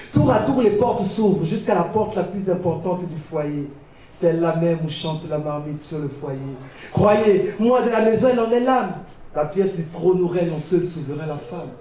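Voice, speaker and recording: A male slam poet from Gabon performs in a very reverberant venue, heard at some distance from the microphone. His delivery is declamatory and theatrical, with measured pauses, and his tone is soft-edged and mellow. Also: high voice. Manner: declamatory, dramatic phrasing with pauses. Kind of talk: slam poetry recited on stage. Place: live poetry competition venue. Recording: distant mic, very echoey venue.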